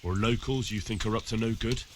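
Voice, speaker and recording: An actor playing a young village man in a video game, neighborly but firm, with a measured, questioning manner. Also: fairly low pitch, steady tone, background noise, natural voice. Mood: stern, pressing. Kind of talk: video game dialogue acting.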